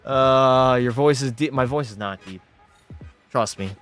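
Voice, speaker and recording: A man's voice: medium pitch, unhurried, a bit bright, soft background music. A young man from Canada, casually chatting and swearing while live-streaming a hockey game and answering chat. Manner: loose, profane banter, reading chat aloud. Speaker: gaming streamer.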